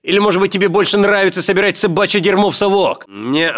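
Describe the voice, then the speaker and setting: An actor playing a teasing, irreverent Russian soldier in video game battle chatter, with a casual, needling manner. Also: male voice, high voice, fast, fairly bright tone. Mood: gossipy.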